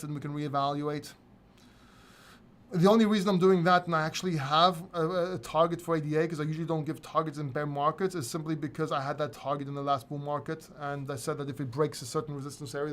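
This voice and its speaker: A man's voice: a little high, a bit bright. A man from Greece hosting a casual live crypto Q&A, reading viewer questions from chat and answering them candidly, sometimes swearing. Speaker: crypto channel host.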